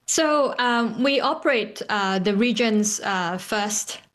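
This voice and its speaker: A woman from Hong Kong answering interview questions as a company founder, often pausing mid-phrase. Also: mid-range voice, lively intonation, a bit bright. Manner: hesitant, frequent pauses mid-phrase.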